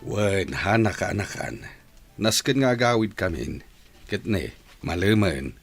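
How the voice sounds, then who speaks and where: A low, husky family man in middle age, fond yet worried. His gravelly voice moves expressively through a radio play. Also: lively intonation, a little slow, soft background music, exaggerated voice. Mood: fond, warm. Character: middle-aged father and uncle, fond but worried. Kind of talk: radio drama acting.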